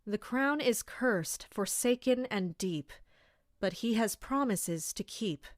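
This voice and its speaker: A woman playing a dutiful, serious captain of an elite armored guard in an audio drama, speaking in a measured way. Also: medium pitch, expressive, no echo, studio quality. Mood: reciting darkly. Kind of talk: audio drama acting with first-person narration.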